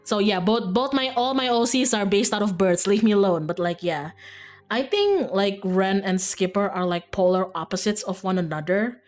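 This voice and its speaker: A woman live-streams a game in an informal, rambling way, giggling easily. Her pitch sits in the middle for a woman, and music plays clearly behind her. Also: animated, moderate pace, darkish tone. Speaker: streamer playing a visual novel.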